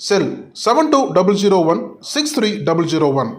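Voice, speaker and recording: A man's voice: high-pitched, sing-song, moderate pace. A man with a Tamil Nadu accent reading a scripted advertisement as an announcer.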